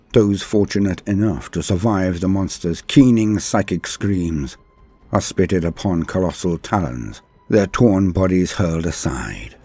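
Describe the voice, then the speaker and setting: A man's voice: low voice, mellow, husky. A man narrating scripted lore as a host, in a theatrical, ornate and formal manner. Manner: dramatic, ornate, formal address. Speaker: host and narrator of a guide channel.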